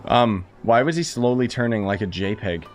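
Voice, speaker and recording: A man's voice: lowish voice, animated, moderate pace. A man giving casual, slangy live game commentary as a streamer, opinionated and rambling. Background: faint game music.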